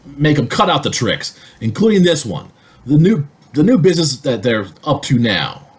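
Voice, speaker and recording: A man playing an excitable, needling workmate in an old-time radio comedy. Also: mid-range voice, normal speed. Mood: exasperated. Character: teasing, exasperated male workmate in radio comedy.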